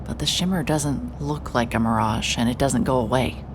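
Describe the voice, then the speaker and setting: A woman's voice: very deep voice, normal speed, background noise. A woman voicing a hard-hearted private investigator, narrating in a dry, hard-boiled inner monologue. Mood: guarded. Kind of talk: noir first-person narration.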